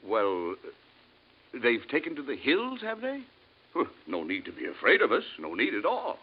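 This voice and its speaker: An actor playing a brisk, suspicious military space commander in vintage radio drama style. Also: male voice, medium pitch, wildly swooping pitch, breathy edge. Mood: wary. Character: commanding, wary male space expedition commander. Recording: dull, faintly noisy old radio recording.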